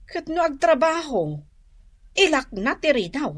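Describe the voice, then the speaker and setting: Radio drama casting: suspicious young Ilocano Filipino wife, high pitched, recorded close and dry. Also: female voice, big pitch swings, a little slow, no room sound. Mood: meek, confiding. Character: suspicious young wife, niece scheming with her aunt.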